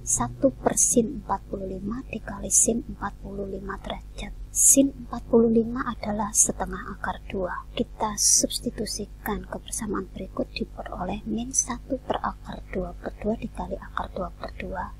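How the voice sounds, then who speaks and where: A woman, a math teacher talking softly through each step of a lesson. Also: medium pitch, steady tone, moderate pace, in a whisper, poor audio.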